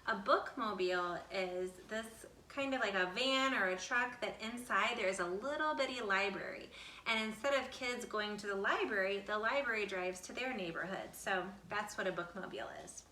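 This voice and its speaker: A woman reading a children's picture book aloud expressively, with deliberate, dramatic phrasing. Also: medium pitch, pushed and bright, a little hiss or hum. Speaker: storybook reader. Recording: roomy echo, distant from the mic.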